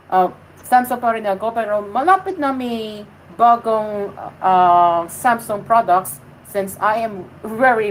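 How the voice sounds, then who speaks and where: Woman host, unhurried, halting delivery, mid-pitched, Taglish chatter with faint background noise. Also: low-quality recording. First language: English. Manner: hesitant, many fillers and restarts, switching between Tagalog and English. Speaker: host of a livestreamed show. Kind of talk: casual livestream chat.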